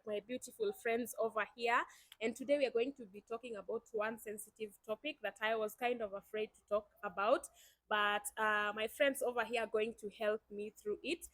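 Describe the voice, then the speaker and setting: Here is a young Kenyan woman who hosts her own channel in a chatty, upbeat way. Her voice sits a little high and sounds dry and close, and she talks straight to her viewers. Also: steady tone, a little fast, pushed and bright, no echo, poor audio. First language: Swahili. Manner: chatty, enthusiastic, addresses viewers directly. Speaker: YouTube host. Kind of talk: casual chat.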